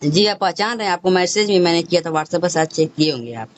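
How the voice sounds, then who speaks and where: A man's voice: high voice, lively intonation, fast talker. A man with a Bhojpuri accent in an argumentative phone call, insistent and repetitive, talking over the other person. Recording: noisy recorded phone call.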